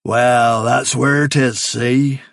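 A man's voice: mid-range voice, steady tone, extremely slow, gravelly, a bit bright, no room sound, studio quality, exaggerated voice. A man voicing a cruel, taunting country man in a broad rural English dialect, reading a storybook. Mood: gloating. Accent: rural English dialect put on. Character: menacing rustic man, cruel and mocking.

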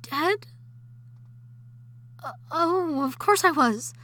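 A woman voicing an obsessive, jealous wife who has come back from the dead, in an audio drama that moves between intimate whispering and shrill shouting. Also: animated, extremely slow, heavily acted. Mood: frantic, possessive. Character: obsessive jealous undead wife, possessive and unstable.

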